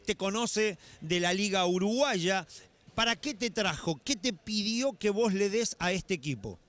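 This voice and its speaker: A man's voice: high-pitched, lively intonation, unhurried, a bit bright. A middle-aged man conducting a post-game interview in an indoor basketball arena, holding a handheld mic and asking long, formal questions. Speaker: courtside interviewer.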